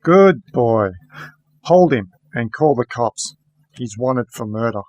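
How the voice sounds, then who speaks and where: A man's voice: medium pitch, animated, takes it slowly, a little mellow, dry recording, muffled recording. A man playing a tough werewolf investigator, narrating his case in a wry, matter-of-fact hard-boiled radio play style. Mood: menacing.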